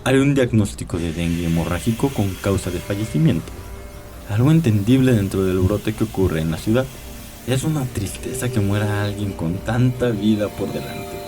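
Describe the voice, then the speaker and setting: An actor playing an elderly, cunning vampire who poses as a doctor, speaking with measured courtesy and cold menace in an audio drama. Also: male voice, on the low side, expressive, lightly acted. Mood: cold, philosophical. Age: older. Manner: courteous, measured, wise-sounding, coldly menacing. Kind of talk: dramatized audio story acting. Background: prominent music.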